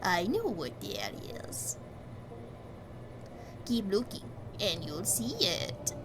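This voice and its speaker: A woman voicing a creepy, controlling doctor who tells bedtime stories to a child in a slow, hushed, taunting, menacing way. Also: mid-range voice, big pitch swings, background noise. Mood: hushed menace. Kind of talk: horror bedtime story narration.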